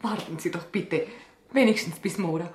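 A woman's voice: on the low side, moderate pace, a little mellow, echoey room, lightly acted. A woman playing an anxious mother in a radio drama, imploring and stubborn. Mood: pleading, afraid. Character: anxious, pleading mother of a sick child. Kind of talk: German-language radio drama acting.

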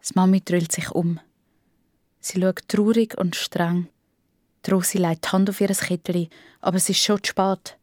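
A woman's voice: on the low side, little pitch movement, normal speed, long pauses, a little mellow, no room sound, clean studio sound. A woman with a Swiss German accent narrating a scripted story for children.

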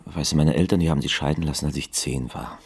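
An actor playing a timid, guilt-ridden young man in love in a radio crime drama, halting and earnest, with a husky voice. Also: rumbling low, music in the background. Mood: sad, wistful. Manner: hesitant, halting, soft-hearted.

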